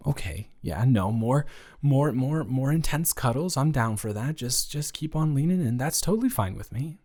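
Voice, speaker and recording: A man plays a young male roommate in an improvised comfort roleplay. He talks in an everyday, medium-pitched voice, caring and a little awkward. His delivery is conversational and rambling, with hesitant stammers and a reassuring manner. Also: lively intonation, moderate pace, a little mellow, close to an everyday voice. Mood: gentle reassurance. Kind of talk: improvised intimate roleplay audio, casual and conversational.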